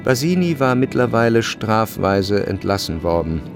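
Radio play narrator: lowish, creaky voice, measured literary delivery, music underneath. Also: male voice, big pitch swings.

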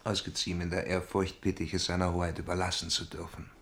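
A wary, servile financier in a radio play. The voice sits low with a noticeable fry. Each line comes out formally polite and measured. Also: male voice, level tone, a bit bright. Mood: deferential. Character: obsequious, cautious financier and courtly host. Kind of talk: German radio drama acting.

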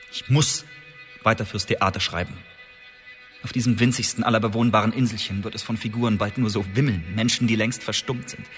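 A man's voice: fairly low pitch, a bit bright, close to an everyday voice. Man acting eloquent Elizabethan poet-spy, echoing hall. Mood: fervent, pleading. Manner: eloquent, theatrical, insistent. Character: impassioned Elizabethan poet and secret agent pleading his case. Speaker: actor in a radio drama. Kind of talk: German radio drama acting, monologue-like dialogue. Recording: long reverberant hall, music underneath.

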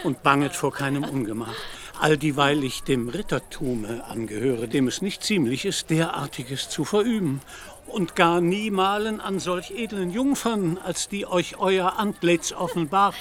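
An actor playing a deluded, gaunt Spanish would-be knight in a radio play, declaiming in pompous, archaic, ceremonious language. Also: male voice, on the high side, measured pace, fairly bright tone. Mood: courtly, ceremonious. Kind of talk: literary radio play acting.

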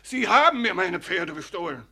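A man's voice: sits high, very animated, moderate pace, pushed and bright, slightly rough, exaggerated voice. An actor playing a flustered, lamenting coachman in a radio play, wailing and exclaiming in agitation. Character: flustered, lamenting male coachman of a country estate.

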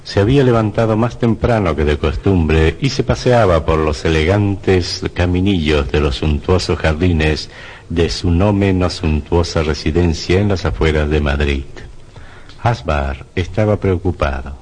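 Man, very deep voice, radio drama narrator, measured scripted storytelling, literary delivery. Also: darkish tone.

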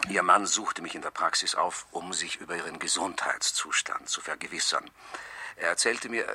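An actor playing a calm, evasive older family doctor, soothing and measured, in a 1970s radio crime drama. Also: male voice, low-pitched, husky, no room sound, full character voice.

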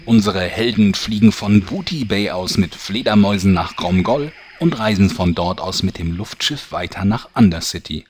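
A man's voice: low voice, slight background noise. A man narrating a parody audio drama as a mock-dramatic storyteller.

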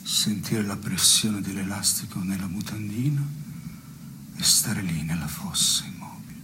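An actor playing a brooding, neurotic, melancholy man who philosophises in measured, literary theatre dialogue. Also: low-pitched, background noise. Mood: pensive. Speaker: stage actor and playwright.

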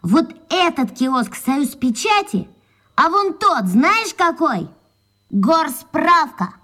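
A woman's voice: high voice, sing-song, takes it slowly, pushed and bright, exaggerated voice. A woman playing an eager, cheeky young schoolboy sidekick in a radio play.

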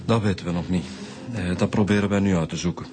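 An actor playing a mild, trusting Flemish police inspector in a radio crime drama, with a tentative way of questioning. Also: male voice, sits low, steady tone, quick pace, close to an everyday voice. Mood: mild, probing. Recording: music behind the voice.

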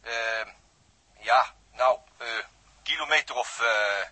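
Sharp, husky, hesitant crewman over intercom. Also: mid-range voice, slow pace, no echo, exaggerated voice. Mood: unsure. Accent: Netherlands Dutch. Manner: hesitant, drawling, understated. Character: laconic, wry, hesitant male spaceship crewman. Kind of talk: 1960s Dutch radio drama acting.

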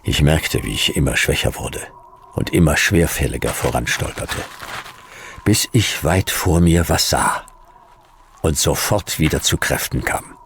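A man's voice: very deep voice, lively intonation, unhurried, fairly bright tone, a little hiss or hum, exaggerated voice. A man playing a hard-boiled, world-weary detective in a radio play, speaking in terse, clipped sentences. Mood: grim, weary. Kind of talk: radio play narration, noir.

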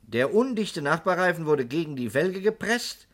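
Shrewd, insistent radio investigator. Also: male voice, on the high side, big pitch swings, normal speed, fairly bright tone. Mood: assured, explaining. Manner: methodical, politely insistent questioning. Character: shrewd, composed male insurance investigator pressing for answers. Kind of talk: 1960s German radio crime drama acting.

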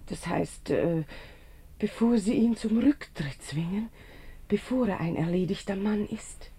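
A woman's voice: mid-range voice, measured pace, dark tone, breathy edge. A woman playing a devoted, anxious secretary in a 1950s German radio drama, speaking politely and earnestly. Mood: worried, hopeful.

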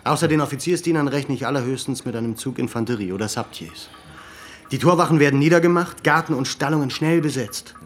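A man's voice: mid-range voice, fast, slight background noise, natural voice. A resolute military leader lays out his plan in a firm and commanding way, as a man plays him. His voice sits in a middle range. This is a radio play. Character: resolute male military leader planning a raid. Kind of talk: German radio play acting.

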